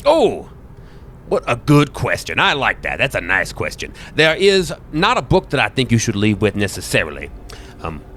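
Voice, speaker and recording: A man's voice: on the low side, a bit bright. A man acts out a genial old bookseller for a tabletop game. His voice swings widely up and down as he rambles, hesitates and apologizes in folksy exclamations. There is a faint noise behind him.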